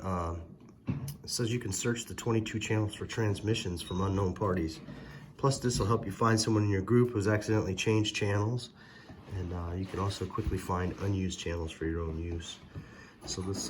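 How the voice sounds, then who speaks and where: Guy with kinda low voice doing a gadget how-to, hesitant, step by step, really noisy audio. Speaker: product reviewer, presenter. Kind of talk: casual hands-on gadget tutorial. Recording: very noisy, electronic beeps and clicks.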